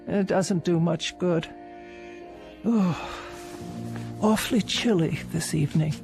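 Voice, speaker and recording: A woman's voice: lowish voice, takes it slowly, gravelly, a little mellow, soft background music. An actress playing a frail, lonely elderly housebound woman in a radio drama, fretful and resigned. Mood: weary.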